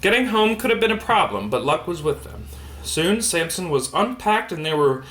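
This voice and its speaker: A man's voice: sits high, sing-song, moderate pace, fairly bright tone. A man reading a children's picture book aloud, stumbling now and then and adding casual comments on the pictures. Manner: stumbles over words and explains the pictures as he goes. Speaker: bedtime story reader.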